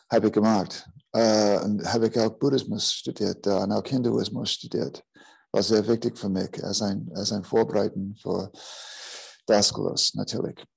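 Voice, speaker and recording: Gravelly low-voiced man. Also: a little mellow, rough recording. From United States. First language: English. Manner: halting, searching for words in a second language. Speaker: teacher of a healing school. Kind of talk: personal storytelling in a talk.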